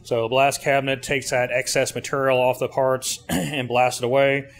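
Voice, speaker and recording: A middle-aged man giving an informal technical explanation in interview answers, plain-spoken and pausing as he goes. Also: mid-range voice, little pitch movement. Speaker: service bureau co-owner explaining his machine. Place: indoor 3D printing workshop. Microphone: handheld interview mic held by interviewer. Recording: clearly noisy machine-room ambience.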